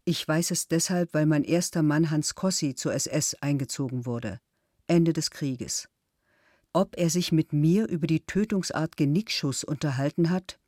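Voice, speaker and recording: A man's voice: a little high, normal speed, darkish tone, no echo, clean studio sound, natural voice. A man reading a grave witness account. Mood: grave, retelling. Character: witness recounting a friend's war story. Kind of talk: read-out witness statement.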